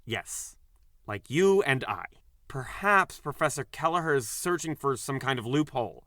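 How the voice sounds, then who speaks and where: A man's voice: medium pitch, lively intonation, moderate pace, sharp tone, no echo, clean studio sound. An actor playing an earnest, anxious, naive man among prisoners in an audio drama, hesitant and reactive.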